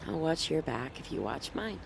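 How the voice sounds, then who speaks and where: An actress playing a troubled, guarded young woman in a noir audio drama, with a cool, coy, deliberate delivery. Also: low voice, big pitch swings, gravelly, slight background noise, exaggerated voice. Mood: wary. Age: young adult.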